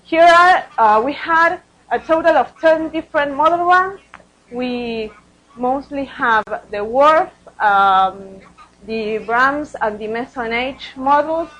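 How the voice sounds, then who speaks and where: A woman's voice: high-pitched, lively intonation, takes it slowly, fairly bright tone, poor audio. A woman researcher presenting study results at a conference, speaking hesitantly and often repeating words.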